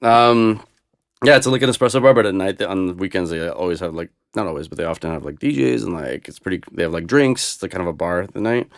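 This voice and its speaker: A man's voice: low-pitched, quick pace, dry recording, studio quality. A young man whose first language is Russian, chatting casually as a podcast guest, rambling with lots of fillers and restarts. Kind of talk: casual conversation.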